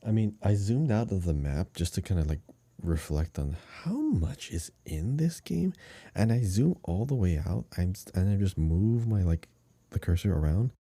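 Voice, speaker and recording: A man with a deep, very dark and mellow voice talks as a podcast co-host. He rambles casually about gaming, building his descriptions in short pieces, and the sound is dry and free of room echo. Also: animated, moderate pace, slightly rough. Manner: rambling, piecing descriptions together in short phrases. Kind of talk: casual chat about a video game.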